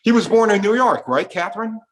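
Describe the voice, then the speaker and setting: A man's voice: high-pitched, expressive, a little fast. A man with an American accent hosting a talk program, delivering scripted introductions like a radio presenter. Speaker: host.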